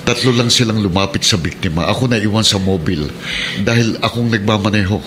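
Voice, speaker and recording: Actor as a grave, low-voiced troubled policeman. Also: fast, background noise, lightly acted. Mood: earnest, hopeful. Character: troubled suspended policeman, steadfast husband. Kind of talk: radio drama acting. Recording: radio drama over prominent music.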